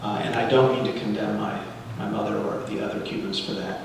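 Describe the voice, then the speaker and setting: A middle-aged man from Cuba, a native Spanish speaker, giving a sermon-like address in an earnest, deliberate way with pauses between phrases. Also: on the low side, fairly even intonation, gravelly. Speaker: guest speaker leading a congregational service. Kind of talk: sermon-like public address with readings. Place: large reverberant indoor hall, speaking to a congregation. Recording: very echoey hall, distant mic, light noise.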